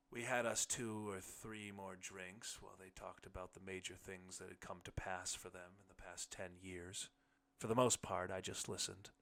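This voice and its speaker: A man narrating a literary short story in the first person, with measured phrasing. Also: deep voice, pushed and bright. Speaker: audiobook narrator.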